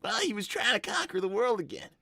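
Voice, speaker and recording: Performer as young cartoon fox boy: bright, forceful, earnest, flustered, stammers through arguments, very dry close sound. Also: medium pitch, big pitch swings, quick pace, gravelly, no echo, exaggerated voice. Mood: explaining. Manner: stammering, argumentative, exasperated with his mother. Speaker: character on a live roleplay show. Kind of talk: improvised in-character livestream chat.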